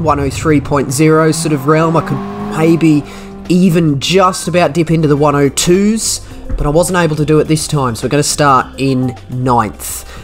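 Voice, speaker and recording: Australian man, nasal from a cold. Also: on the high side. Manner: chatty, self-deprecating play-by-play. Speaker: gaming commentator. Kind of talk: racing game commentary over gameplay. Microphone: desk USB microphone.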